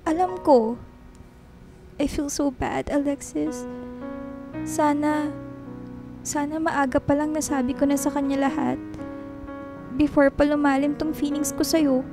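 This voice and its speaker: A woman's voice: high-pitched, steady tone, measured pace, pauses often. A woman playing a remorseful young woman confessing her love in a Filipino radio drama, emotional and confessional. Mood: remorseful, yearning. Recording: clear music under the voice. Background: background music.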